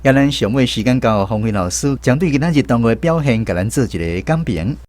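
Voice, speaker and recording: A man's voice: mid-range voice, lively intonation, brisk, a little mellow. A man with a Taiwanese accent hosting a language quiz on the radio, guiding the discussion. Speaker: host of a language-teaching radio segment. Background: music bed.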